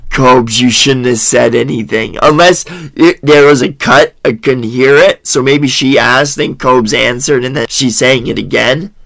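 A man's voice: medium pitch, unhurried, vocal fry, few pauses, fairly bright tone, no echo. A man with a stuffed-up nose giving mocking reaction commentary, laughing often. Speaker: commentary host. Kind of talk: reaction commentary over a stream clip. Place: voice-over, not the pictured room.

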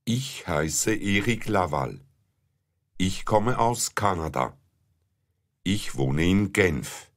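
A man reading German practice sentences and abbreviations slowly and evenly for learners. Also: deep voice, lively intonation. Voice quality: plain, measured. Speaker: language course speaker. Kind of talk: slow scripted language drill.

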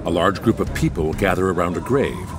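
A man reading stage directions as the narrator of an audio play, in a measured, scene-setting way. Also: low voice, animated. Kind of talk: scripted narration. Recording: music and crowd behind.

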